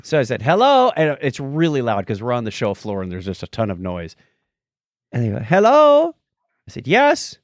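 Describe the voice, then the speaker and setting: A man's voice: medium pitch, huge pitch swings, normal speed, dry recording, clean studio sound. A man co-hosting a podcast in casual chat, telling jokey stories and acting out both sides of conversations. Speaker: podcast co-host.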